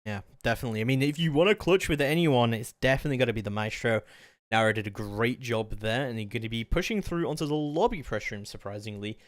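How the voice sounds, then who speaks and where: A man's voice: mid-range voice, fast talker, dry recording, studio quality. A man giving live play-by-play esports commentary in a flowing, detailed running description.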